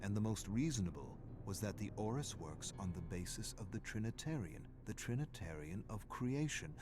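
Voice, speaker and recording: An actor playing a weary, brooding scholar trapped in an otherworld, narrating video game journal entries in a measured, solemn, formal manner. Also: male voice, fairly low pitch, very animated, noisy background. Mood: pensive, solemn. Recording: music behind the voice.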